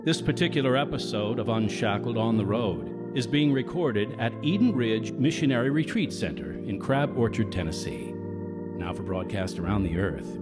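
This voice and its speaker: A man announcing a radio drama in scripted, dramatic narration. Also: mid-range voice. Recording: music playing behind the voice. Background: prominent guitar music.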